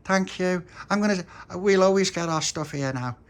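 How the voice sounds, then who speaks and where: A man doing a small boy, pitched far above a grown man's voice. He gushes and stumbles in a breathless rush, generous to the core and thrilled about his birthday. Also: normal speed. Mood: eager. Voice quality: high, breathless child voice.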